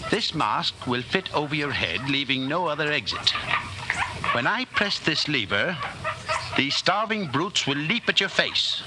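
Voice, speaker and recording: An actor playing a cold, controlling party inquisitor in a 1940s radio drama, commanding, deliberate and menacingly calm. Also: male voice, fairly high pitch. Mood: calm menace. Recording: noisy old 1940s radio broadcast.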